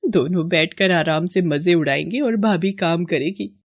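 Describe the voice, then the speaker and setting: A woman's voice: on the low side, unhurried, no room sound, muffled recording. A woman voicing a sweet-talking, scheming young sister in a cartoon.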